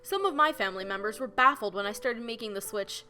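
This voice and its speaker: An eager, chatty young woman student, as a woman plays her, quick with questions. Her voice is high and bright, slightly stuffy from a cold, with faint music underneath. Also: lively intonation. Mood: playful. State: nasal from a cold.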